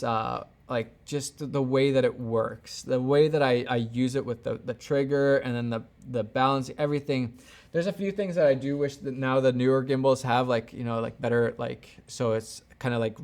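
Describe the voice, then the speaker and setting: A man's voice: medium pitch, a little mellow. A man hosting a casual livestream as a camera gear YouTuber, rambling and thinking aloud as he gives opinions and answers viewers. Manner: off-the-cuff, rambling, thinking aloud, backtracking mid-thought.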